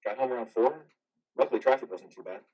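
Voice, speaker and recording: A man's voice: mid-range voice, fast talker, warm tone, slightly rough, recorded from a distance, natural voice. A man in a reader's theater drama playing a troubled, haunted army veteran, earnest and hesitant. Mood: weary, evasive.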